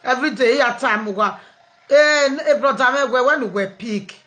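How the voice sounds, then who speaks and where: A woman's voice: on the high side, unhurried, fairly bright tone. A Nigerian woman hosting a live online program, chatting casually with callers and switching freely between pidgin, English and local languages.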